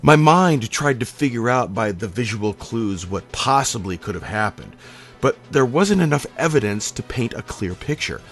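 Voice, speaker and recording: A man's voice: medium pitch, expressive, moderate pace, a bit bright. A man telling a scripted comic personal story in a dramatic, emphatic, profane manner. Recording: music playing under the voice. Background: background music.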